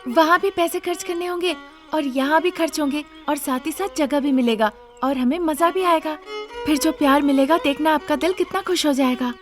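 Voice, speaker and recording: A woman's voice: high-pitched, normal speed, faint music behind, pitched above the performer's own voice, close to an everyday voice. A woman voicing an eager, persuasive young celebrity daughter-in-law in an emphatic, pleading way, in a story.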